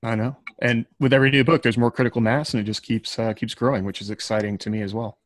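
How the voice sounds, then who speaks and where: Casual man hosting, mid-pitched, dry recording. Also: little pitch movement, no room sound. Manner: informal, halting, self-aware asides. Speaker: podcast host. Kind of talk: casual, loosely scripted podcast chat.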